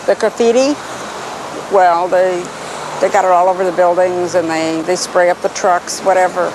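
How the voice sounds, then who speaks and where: A woman's voice: fairly low pitch, steady tone, normal speed. A woman, a local resident, answering a street interview in an offhand, loosely phrased way. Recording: noisy street field recording.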